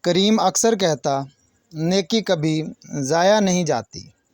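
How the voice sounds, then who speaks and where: Higher-pitched man telling a children's story. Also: darkish tone, no echo, studio quality. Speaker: children's storyteller and channel host. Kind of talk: narrated moral story for children with teacher-like asides.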